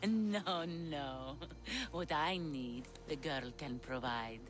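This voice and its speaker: Game witch: sly, coaxing, theatrical, unhurried, over a clear music bed. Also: sits low. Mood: assured, scheming. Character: sly witch of Hecate peddling potions, slow, sultry. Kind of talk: dramatic video game acting.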